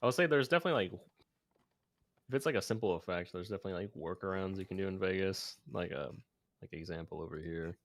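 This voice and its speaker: A man's voice: low-pitched, quick pace, fairly bright tone, dry recording. A man talking informally and self-deprecatingly as he walks through his video editing on a casual livestream. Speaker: video editor and streamer.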